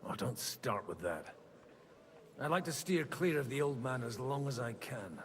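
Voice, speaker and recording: An actor playing a middle-aged medieval priest in a video game, world-weary and wry, with a dry, grumbling manner. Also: male voice, medium pitch, animated, normal speed, a little hiss or hum, close to an everyday voice. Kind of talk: video game dialogue acting.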